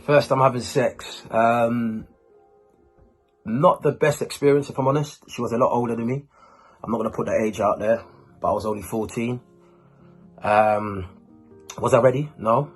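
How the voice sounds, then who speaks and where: A man's voice: mid-range voice, steady tone, moderate pace, pauses often, breathy edge, faint music behind. A man from Germany with Ghanaian roots, a YouTuber talking casually and informally, with urban slang, as he answers questions to camera. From Ghanaian, born and raised in Germany. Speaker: YouTuber, host.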